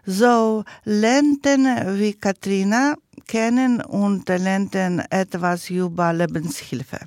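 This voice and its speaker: A woman from Ukraine, speaking German as a learner in an interview, hesitant and searching for words with many pauses. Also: mid-range voice, fairly even intonation, a little mellow, no room sound, clean studio sound. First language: not German. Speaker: guest, project participant.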